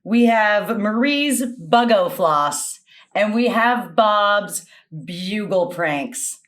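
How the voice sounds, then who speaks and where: Slow, deliberate woman on a panel show. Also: mid-range voice, lively intonation, fairly bright tone. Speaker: panelist and scorekeeper. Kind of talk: playful panel game chat. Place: indoors, on a video call.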